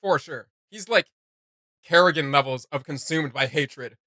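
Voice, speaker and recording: A man hosts a reaction video in a fairly high, bright voice, recorded dry and close. He chats casually and excitably, full of slang and jokes. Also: a little slow, no echo. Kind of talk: casual, joking reaction chat.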